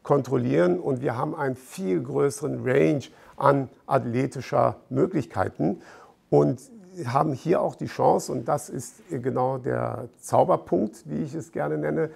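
A middle-aged man explaining fitness equipment to camera in an informal, deliberate way, as an expert presenter. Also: mid-range voice, creaky, a little mellow, breathy edge. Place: bright gym showroom indoors with large windows.

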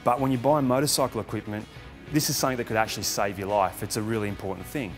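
A man's voice: on the low side, steady tone, fast talker. A man presenting to camera, enthusiastic and conversational. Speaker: motorcycle magazine presenter. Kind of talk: talking to camera. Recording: music playing under the voice.

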